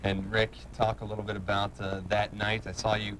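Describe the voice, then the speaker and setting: A man's voice: lowish voice, monotone, fairly quick, a bit bright. A man hosting a sports interview show, asking long, run-on questions like a play-by-play announcer. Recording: clearly noisy background.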